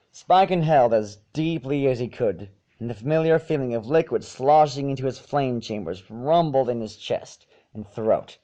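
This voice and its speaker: A man's voice: medium pitch, a little slow, mellow. A man reading a story aloud as an amateur narrator, with occasional stumbles and repeats. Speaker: fan fiction reader.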